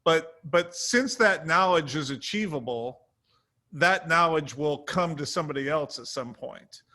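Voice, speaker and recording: A man with a fairly high, very bright and forceful voice talks casually as a writer on a panel. He tells things like little stories, slipping into quoted remarks as he goes. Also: expressive, measured pace.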